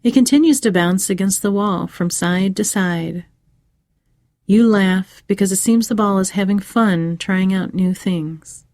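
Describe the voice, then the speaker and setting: A woman narrating a scripted guided meditation for children in a measured, soothing way with pauses. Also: mid-range voice, darkish tone, dry recording, clean studio sound.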